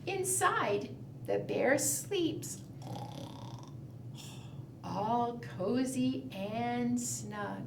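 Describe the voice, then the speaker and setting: A woman reads aloud to preschoolers as a storytime leader. Her voice is high and her pace is very unhurried, with a sing-song lilt and questions for the young listeners. The sound is echoey, as if picked up in a room. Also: expressive, gravelly. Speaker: children's librarian leading preschool storytime. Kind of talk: read-aloud storytelling and fingerplay for young children. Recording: roomy, distant mic, faint background noise.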